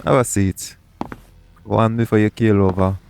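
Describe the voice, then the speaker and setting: Man playing a deep-voiced, shady pirate captain. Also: fairly even intonation, gravelly, darkish tone, slight background noise. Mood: gruff, dismissive. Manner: taunting, deliberate, persuasive. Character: shady, shrewd male pirate captain, smug and calculating. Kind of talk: audio roleplay acting addressed to the listener.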